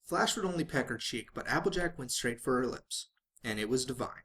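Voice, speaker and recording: A man's voice: mid-range voice, expressive, brisk, fairly bright tone. A man reading fan fiction aloud as a dramatic narrator, sometimes stumbling and rereading phrases.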